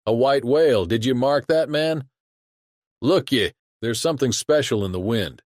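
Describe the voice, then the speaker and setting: A man's voice: mid-range voice, expressive, normal speed, a little mellow, no room sound, studio quality, lightly acted. A man playing a chatty sailor who tells a yarn to his shipmate in colloquial, exclamatory audiobook acting. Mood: confiding wonder.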